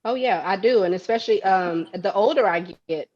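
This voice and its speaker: Interview guest, woman in her forties, earnest and reflective, mid-pitched voice, muffled lo-fi sound. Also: darkish tone. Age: 40s. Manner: halting starts, then earnest and reflective. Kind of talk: candid conversation.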